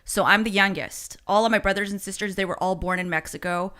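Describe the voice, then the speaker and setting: A woman who hosts a radio morning show, guesting on a podcast, with Spanish as her first language, telling stories in chatty, animated talk with fillers. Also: medium pitch, fast talker. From United States. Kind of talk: casual interview chat.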